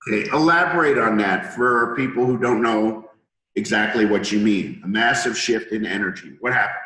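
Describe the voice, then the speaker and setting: A middle-aged man with a hoarse voice from a cold, hosting an interview as a healer and explaining things at length with analogies. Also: on the low side, normal speed, bright, forceful tone, roomy sound, poor audio. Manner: confident, lecturing with analogies. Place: room in Thailand.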